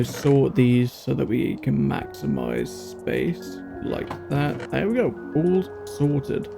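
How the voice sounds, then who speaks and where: Man with a heavily creaky, gravelly voice. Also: mid-range voice. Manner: chatty, thinking aloud in short bursts. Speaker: gaming video host. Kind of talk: casual gameplay commentary. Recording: music playing behind the voice. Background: background music.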